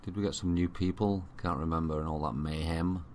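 A man with a Yorkshire accent giving casual, unhurried gaming commentary, thinking aloud to himself. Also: low-pitched, fairly even intonation, darkish tone, slight background noise. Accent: Yorkshire English. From Yorkshire, England. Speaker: gamer commentating own play. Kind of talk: casual let's play commentary. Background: strategy game ambience.

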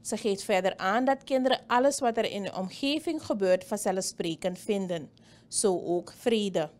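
A woman reads a news report as a voice-over. Her delivery is steady and even, in a mid-pitched voice. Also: moderate pace.

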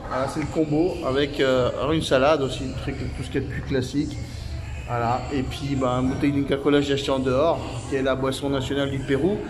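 Rambling man vlogging on his phone. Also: on the high side. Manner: chatty, rambling, many fillers. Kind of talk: casual vlog to camera. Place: small restaurant, indoors. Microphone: phone at arm's length. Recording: phone video with crowd chatter.

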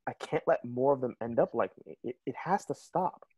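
A man's voice: mid-range voice, expressive, a little fast, darkish tone, breathy edge, no room sound. A man playing a haunted, compassionate young ex-soldier, earnest and hesitant, in a fan table read. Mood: troubled.

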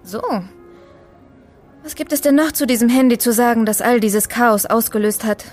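An actress playing an inquisitive, sharp-tongued woman with a sardonic, probing manner in an audio drama. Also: fairly high pitch, normal speed, faint music behind, lightly acted. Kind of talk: German audio drama dialogue.

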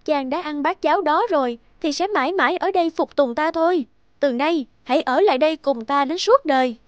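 An actress playing a sly fox demon in the guise of a young maiden, coaxing and melodramatic, in a children's cartoon. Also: female voice, high-pitched, a little fast, no echo, highs cut off, natural voice. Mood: commanding. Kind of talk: children's fairy-tale cartoon dubbing.

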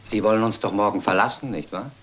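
A man plays a timid naval officer in a radio crime play. His voice is fairly low. He talks hesitantly and earnestly, with a dejected, confessional manner. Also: quick pace, background noise, natural voice. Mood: anxious, confessing. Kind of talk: German detective radio play acting.

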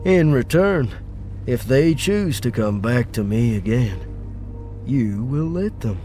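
Smug manic doctor turned fungal cult persuader, played by a man, theatrical and coaxing, unhurried, music underneath. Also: mid-range voice, expressive, warm tone. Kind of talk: comic dub voice acting.

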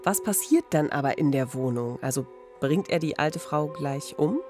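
A woman in her thirties co-hosting a true-crime podcast in a studio, expressive and conversational. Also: sits low, moderate pace. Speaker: podcast co-host. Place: radio studio. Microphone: studio microphone on boom arm. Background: background music.

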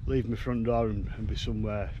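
A man's voice: on the low side, steady tone, dull audio. Englishman chatting casually over outdoor noise. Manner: unhurried, halting, repeats words as he goes. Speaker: outdoors vlogger. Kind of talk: casual rambling chat to camera. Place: outdoors in woodland. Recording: outdoor woodland ambience, clear background noise. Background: natural outdoor ambient noise.

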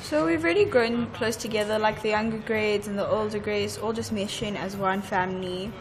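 A woman's voice: mid-range voice, normal speed, noisy background. A teenage girl, a student performer, chatting casually and filling her talk with "like". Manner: chatty, lots of "like". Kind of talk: casual interview.

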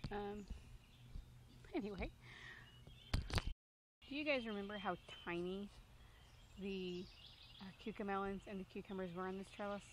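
Woman in her thirties, medium pitch, casual gardening vlogger, handheld phone, noisy outdoor backyard. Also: moderate pace, long pauses. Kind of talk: casual garden tour narration. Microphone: handheld phone at arm's length.

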